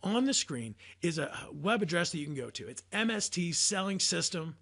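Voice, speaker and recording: American guy doing a sales coaching video, kinda high voice, punchy deliberate phrasing, motivational. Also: very animated, vocal fry, fairly bright tone. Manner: emphatic, deliberate phrasing with rhetorical questions. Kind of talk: motivational instructional talk to camera.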